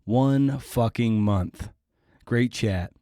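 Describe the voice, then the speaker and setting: A man's voice: mid-range voice, very slow, mellow, smooth, dry recording, studio quality. A man hosting a podcast, delivering a casual, irreverent intro with self-mocking jokes. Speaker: podcast host.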